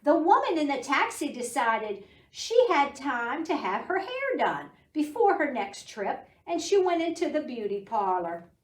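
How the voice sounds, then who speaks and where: A middle-aged woman hosting a children's storytime, reading aloud and talking to young children in an animated, encouraging way. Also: high voice, a little slow. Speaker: library storytime host. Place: small indoor library room. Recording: roomy echo, distant microphone.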